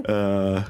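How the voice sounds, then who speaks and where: A man speaking informally and with swearing as the game master of a tabletop role-playing session. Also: fairly low pitch. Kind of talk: casual tabletop game narration.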